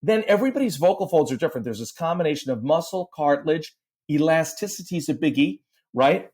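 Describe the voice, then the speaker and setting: A man's voice: a little high, expressive, fast talker, a little mellow. Man, voice teacher, podcast guest, explaining, demonstrating sounds. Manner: explains step by step, vocal demos and sound effects.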